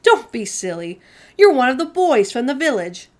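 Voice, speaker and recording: A woman's voice: sits high, big pitch swings, normal speed, pitched above the performer's own voice. A woman voicing a plucky man in a storybook who taunts with feigned nonchalance and defiance. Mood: mock-casual, dismissive.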